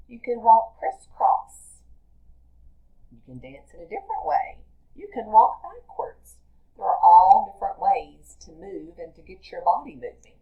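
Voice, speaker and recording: Female primary teacher, slightly low voice, unhurried expressive read-aloud for young children. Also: narrow, tinny audio. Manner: deliberate, expressive storytelling for children. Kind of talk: picture-book read-aloud with teaching asides.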